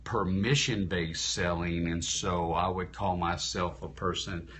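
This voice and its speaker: A man's voice: deep voice, steady tone, measured pace, fairly bright tone, slight background noise. A man who works as an insurance entrepreneur and speaker, coaching viewers live and often asking rhetorical questions, tired after a long day. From Louisiana. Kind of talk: live-stream talk to viewers. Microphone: phone.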